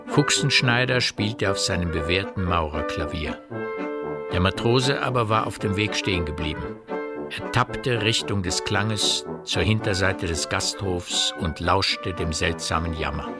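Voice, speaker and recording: An actor as a measured narrator, delivering scripted literary narration in a radio drama. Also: male voice, low-pitched, over loud music.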